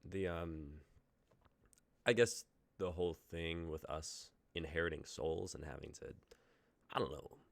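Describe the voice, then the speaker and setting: A man voices a young newcomer to a strange world, full of questions that he asks haltingly. His voice is low and gravelly with a dry sound. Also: no room sound. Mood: curious. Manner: curious, hesitant questions. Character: young newcomer, curious and unsure.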